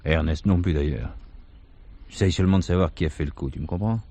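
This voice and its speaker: A radio-play police chief, with a fairly low voice. He is blunt and commanding, yet patient as he questions people, keeping his delivery brisk and authoritative. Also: dark tone. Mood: firm, persuasive. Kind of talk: French radio drama dialogue.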